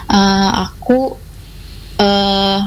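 A woman guest on a casual radio talk, explaining her small food business in a relaxed way. She speaks plainly, with fillers and little tag words as she goes. Her pitch is ordinary and mid-range. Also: extremely slow, noisy background.

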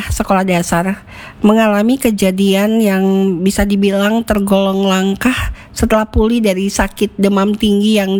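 Woman, mid-pitched voice, radio host, casual chat, talks straight to listeners. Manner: chatty, addresses listeners often.

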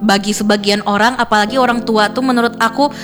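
A woman's voice: a little high, steady tone, fast, a bit bright, soft background music. A young woman hosting a radio show in a studio, talking in a chatty, giggly way full of fillers. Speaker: radio host. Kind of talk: casual radio chat.

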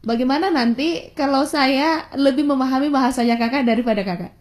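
A woman's voice: sits high, fairly quick, darkish tone. A woman hosting an interview, asking playful, teasing questions and laughing often. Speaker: interviewer host. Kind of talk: conversational interview.

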